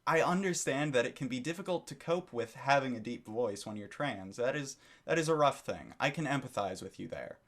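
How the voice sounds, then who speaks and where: A man's voice: medium pitch, animated, fairly quick, few pauses, fairly bright tone. A man giving a critical commentary monologue for his video channel, lecturing and disapproving, often repeating his phrases. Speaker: YouTube commentator.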